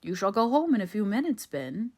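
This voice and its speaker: A woman voicing a composed, authoritative military gentleman in an audiobook, measured and dry yet kindly. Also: mid-range voice, big pitch swings, pitched above the performer's own voice. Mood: gentle, probing. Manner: measured, reassuring, questioning.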